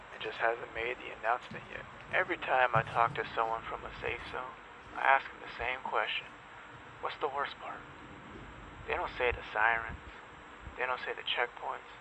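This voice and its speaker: A man's voice: medium pitch, steady tone, normal speed, creaky, lightly acted. A man playing a jaded, world-weary radio host of a zombie apocalypse broadcast, delivering a sardonic, folksy, dramatic monologue. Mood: bitter, sardonic. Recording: dull, noisy lo-fi radio broadcast.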